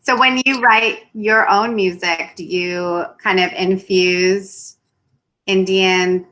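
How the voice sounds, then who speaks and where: A woman host with a bright, clear voice speaks slowly and warmly to her guest. Her manner is friendly and eager in a casual chat. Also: medium pitch, fairly even intonation, poor audio. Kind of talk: casual video interview.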